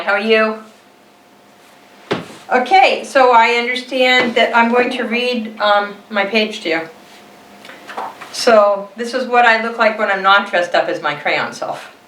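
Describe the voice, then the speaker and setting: A woman voicing an overworked, earnest red crayon from a storybook, halting and apologetic as it airs its complaints. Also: on the high side, fairly bright tone, echoey room, recorded from a distance, a little hiss or hum, low-quality recording. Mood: nervous, friendly.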